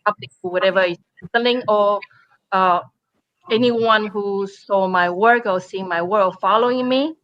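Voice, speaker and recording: A woman from Taiwan, a painter speaking on a panel in halting English with many fillers and restarts. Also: medium pitch, a bit bright, dry recording, dull audio. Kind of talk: panel discussion.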